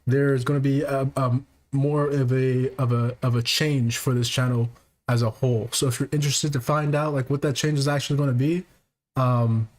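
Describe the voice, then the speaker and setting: Male podcast host, mid-pitched, soft-spoken, rambling casual monologue full of "um"s. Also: level tone. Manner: soft-spoken, halting, many fillers and restarts. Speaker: technician.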